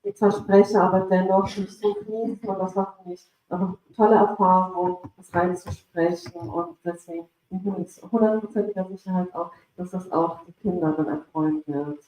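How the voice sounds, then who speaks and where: A woman whose first language is Pashto, speaking hesitantly at a live event with many pauses and fillers about narrating a children's story. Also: lowish voice, warm tone, a little hiss or hum. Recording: echoey room, mic at a distance.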